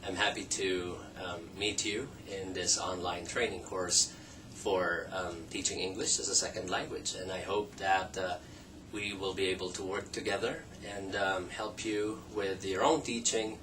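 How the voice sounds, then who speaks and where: A man from the Philippines, a second-language English speaker, introducing an online teacher training course as an assistant professor, measured with frequent um pauses. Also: low-pitched, steady tone. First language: Tagalog and Ilocano. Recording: distant mic with faint noise.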